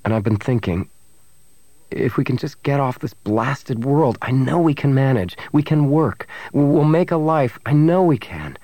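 Man acting a brash young rogue, low-voiced. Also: a little slow, no echo. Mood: earnest, tender. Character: brash young male rogue, fierce and threatening, tender underneath.

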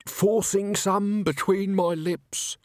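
A man's voice: high-pitched, steady tone, slow, no echo, clean studio sound, voice pushed up. A man doing a whiny wheedling fellow. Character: wheedling, self-pitying man hoping for brandy.

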